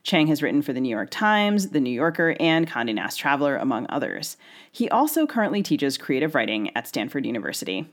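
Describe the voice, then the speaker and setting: A woman's voice: very deep voice, big pitch swings, brisk. A woman co-hosting a podcast, moving between a scripted introduction and light banter. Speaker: podcast co-host.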